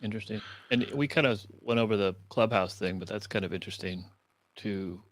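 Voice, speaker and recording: A man's voice: fairly low pitch, a little fast, no room sound. A man hosting a casual conversation, asking questions and sharing loose remarks. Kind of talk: casual chat.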